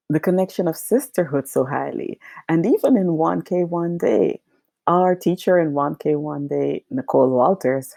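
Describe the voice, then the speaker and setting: A woman from Guyana, a guest in a casual interview who affirms warmly and often says yes. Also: low voice, dry recording.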